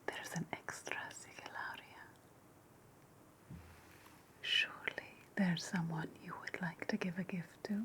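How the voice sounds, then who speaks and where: A woman playing a sinister, sardonic ancient Roman witch in a whispered ASMR roleplay, speaking deliberately and ritualistically, often repeating phrases. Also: on the low side.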